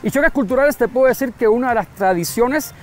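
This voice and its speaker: High-voiced Cuban man chatting outdoors. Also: quick pace, soft background music. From Cuba. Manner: talkative, thoughtful, repeats phrases. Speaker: interviewee. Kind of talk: casual street interview. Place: outdoors on a city street.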